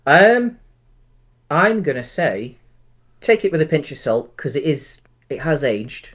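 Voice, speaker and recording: A man co-hosting a podcast, chatting casually about films and thinking aloud in a halting way. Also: fairly high pitch, big pitch swings, a bit bright. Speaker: podcast co-host. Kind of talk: casual film discussion.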